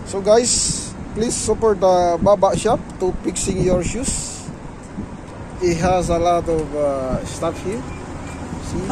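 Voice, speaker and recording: Chatty high-voiced man in his forties. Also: slow. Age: 40s. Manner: chatty, repeats words, broken English with many fillers. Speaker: vlogger. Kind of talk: casual vlog chat. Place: city sidewalk outdoors. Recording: street noise behind.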